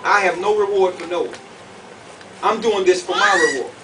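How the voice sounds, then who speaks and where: A man's voice: high voice, normal speed, pushed and bright. A man preaching and teaching from scripture to a group, emphatic, repeating phrases and putting questions to his listeners. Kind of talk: sermon, conference teaching to a group. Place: conference room with an audience. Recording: distant mic, clearly noisy room recording.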